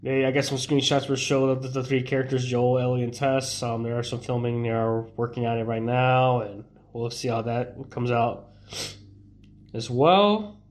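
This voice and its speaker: A young man with a stuffy, congested voice talks to his viewers in a loose, rambling way. He keeps restarting his sentences and leaning on fillers as he chats. His pitch sits in a middling range for a man. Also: steady tone, normal speed. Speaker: streamer. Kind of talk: casual live-stream chat.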